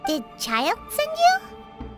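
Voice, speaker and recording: A woman voicing a tiny, excitable fairy companion girl, cheeky and squeaky, in an anime game dub. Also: very slow, heavily acted. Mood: surprised. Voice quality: squeaky, childlike. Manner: exclamatory, refers to herself in third person. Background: background music.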